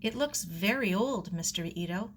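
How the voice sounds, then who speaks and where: A woman's voice: medium pitch, animated, normal speed, slight background noise, natural voice. A woman voicing a curious, polite young girl in a storybook, earnest and full of wonder. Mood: curious, puzzled.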